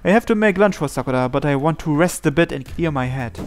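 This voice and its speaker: A male gaming host reads a visual novel aloud. His voice sits on the high side and his delivery is dramatic narration. Game music runs faintly behind him. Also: animated, quick pace. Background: background music from the game.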